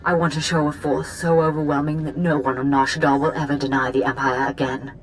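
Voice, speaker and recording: An actress playing a poised, businesslike imperial diplomat and spymaster in a video game, speaking formally. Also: female voice, low-pitched, level tone, fairly quick, faint music behind, close to an everyday voice. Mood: composed, resolute. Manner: formal, polished. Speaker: imperial special operations chief.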